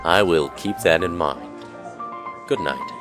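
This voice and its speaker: A very deep-voiced investigator, acted by a man in a radio drama. He is grave, measured and courteous, with music behind him. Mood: grave, resolute. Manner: measured, polite, brooding. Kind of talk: radio play acting with journal narration.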